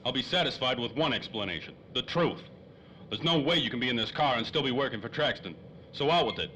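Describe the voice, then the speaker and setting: A man acting a brisk, wary hard-boiled detective. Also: mid-range voice, animated, pushed and bright, a little hiss or hum, low-quality recording. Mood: demanding. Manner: clipped, wisecracking tough-guy talk. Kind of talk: 1930s old-time radio drama acting.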